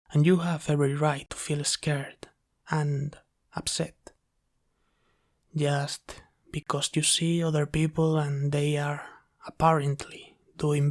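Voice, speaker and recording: A man playing a gentle, apologetic sleep paralysis demon in a soft, hesitant, reassuring ASMR roleplay. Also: on the high side. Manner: soft-spoken, hesitant, reassuring, pausing often.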